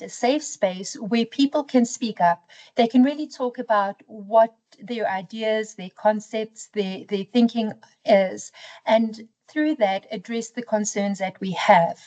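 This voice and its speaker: A woman speaks in a corporate interview with a fairly high voice. She talks in a measured, reflective way, often adding "you know". Her delivery is calm and considered. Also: muffled recording.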